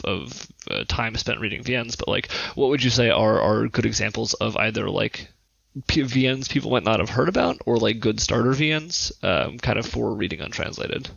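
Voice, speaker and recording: A man's voice: medium pitch, gravelly, pushed and bright, no echo, dull audio. A man hosting a podcast, putting casual, wordy questions and correcting himself as he goes. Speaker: podcast host. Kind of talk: casual interviewing.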